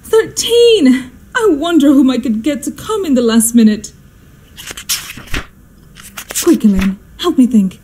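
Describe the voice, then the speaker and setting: An actress playing a fussy, imperious, status-hungry society mother in a radio drama, theatrical and exclamatory, with a husky voice. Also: sits high, animated, a little fast, warm tone, a little hiss or hum. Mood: exasperated.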